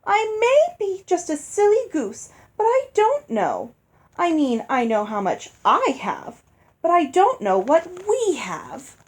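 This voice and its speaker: A woman's voice: very high-pitched, wildly swooping pitch, slow pace, pitched above the performer's own voice, exaggerated voice. A woman voicing a vain, greedy older stepmother in a storybook, pouty and overwrought. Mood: coy, eager.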